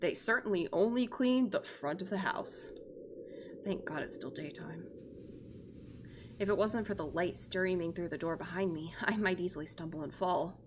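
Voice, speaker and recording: A woman's voice: lowish voice, moderate pace, background noise. A woman streamer reading a visual novel aloud, expressively and theatrically, with joking asides. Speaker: streamer, virtual YouTuber.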